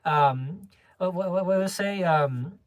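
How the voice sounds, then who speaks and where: Rambling, low-key young livestreamer. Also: a little slow, no room sound. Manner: rambling, with many restarts and small laughs; self-described homebody, low-key. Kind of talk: casual livestream chat.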